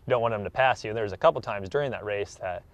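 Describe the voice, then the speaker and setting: A man's voice: lowish voice, racing, no echo. A young American man in his twenties, an athlete giving a casual interview in an earnest, modest way. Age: 20s. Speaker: track athlete being interviewed.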